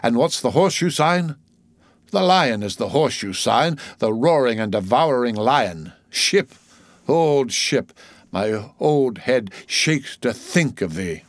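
A man's voice: medium pitch, animated, slow pace, dry recording. A man voicing a jocular old sailor who mutters exclamatory asides to himself in a theatrical storybook reading. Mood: musing.

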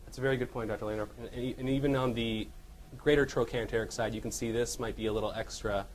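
Halting male medical lecturer, noisy recording. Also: medium pitch, fairly even intonation. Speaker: radiologist presenting cases to clinicians. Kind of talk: medical case lecture with questions to the audience.

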